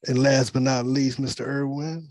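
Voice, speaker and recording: A man's voice: medium pitch, fairly even intonation, moderate pace, a little mellow, slight background noise. A man speaking as a film director in an informal team briefing on a video call, rambling and reassuring. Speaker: film director leading a table read. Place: virtual meeting on a video call.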